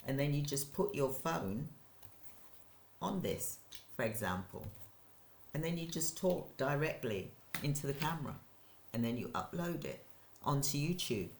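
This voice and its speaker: An older woman, hosting her own channel and giving an energetic, rambling motivational talk to camera, repeating points for emphasis. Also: rumbling low, unhurried, long pauses, slight background noise. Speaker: channel host, business owner. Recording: roomy echo.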